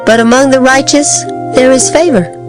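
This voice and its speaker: A reader giving a dramatized scripture reading with expressive, measured declamation. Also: sits high. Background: background music.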